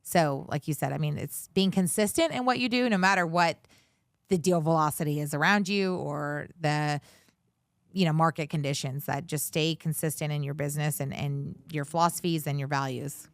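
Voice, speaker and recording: A woman's voice: low voice, moderate pace, no echo, studio quality. A woman in her forties, a guest in an interview, talking casually with lots of fillers and restarts. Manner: chatty, many fillers and restarts. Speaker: guest, commercial real estate director.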